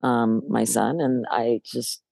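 Older American woman guest, low gravelly voice, hesitant, laughs easily, dry close sound. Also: fairly even intonation, takes it slowly, warm tone, no room sound. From New Jersey, USA. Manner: reflective, hesitant, laughs often. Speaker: guest musician. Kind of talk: casual interview chat.

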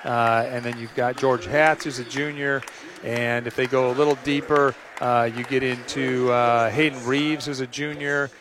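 A middle-aged American man, slightly hoarse, calling a pregame basketball broadcast in a rambling way, full of "uh" fillers and restarts. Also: mid-range voice, steady tone, moderate pace, crowd noise. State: a little hoarse. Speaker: play-by-play announcer. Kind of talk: pregame sports broadcast commentary. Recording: music in the background.

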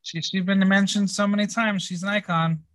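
A man's voice: high-pitched, fairly even intonation, normal speed, a bit bright. A man hosting a casual recap chat, with a dry manner and words repeated for emphasis. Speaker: podcast host recapping a TV episode.

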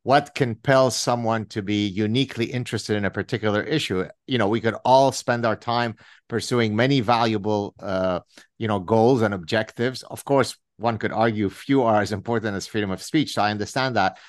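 A man's voice: mid-range voice, no room sound, studio quality. A middle-aged man hosting an interview podcast, talking in a chatty way with asides and fillers. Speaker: podcast host. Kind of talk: interview conversation.

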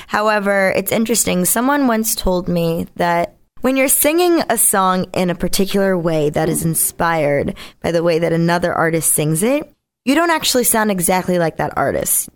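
A woman with a naturally raspy voice, a singer being interviewed, talking casually in a candid, reflective way. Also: fairly low pitch. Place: radio studio.

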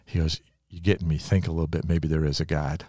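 Deep-voiced older man, chatting about faith. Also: little pitch movement, fast, mellow, husky, dry recording, clean studio sound. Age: 60s or older. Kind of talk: casual religious conversation with personal testimony.

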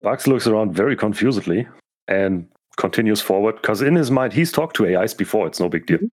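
A man's voice: on the low side, lively intonation, fairly quick, breathy edge. A man playing a tabletop game, speaking casually. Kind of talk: casual banter.

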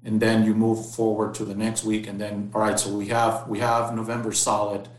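A man's voice: fairly low pitch, completely flat delivery, fairly quick, reverberant. A man from Mexico, a factory site manager explaining things earnestly in an interview, often repeating words as he restarts. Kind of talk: casual interview conversation.

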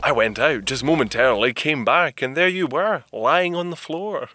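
An actor playing a polite, formal young inventor from the 1930s, speaking in old-fashioned British phrasing in an audio drama. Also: male voice, medium pitch, moderate pace, sharp tone, lightly acted.

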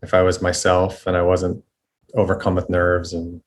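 A young man speaking as a podcast guest, hesitant and halting, with many restarts as he apologizes. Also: low voice, completely flat delivery, a little hiss or hum. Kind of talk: apologetic conversation.

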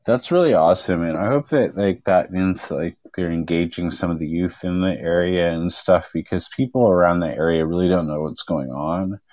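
A man with an extremely low, deep voice talks earnestly as a guest on a panel. He rambles and leans on fillers, and the sound is close and dry. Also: normal speed, few pauses, darkish tone, no echo. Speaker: activist guest. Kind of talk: casual chat.

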